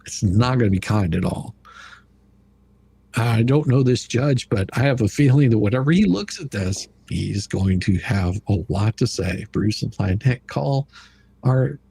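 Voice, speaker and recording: A man with a slightly husky voice hosting a casual livestream commentary in a blunt, mocking, colloquial way. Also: on the low side, expressive, a little slow, warm tone, slight background noise. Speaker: livestream host.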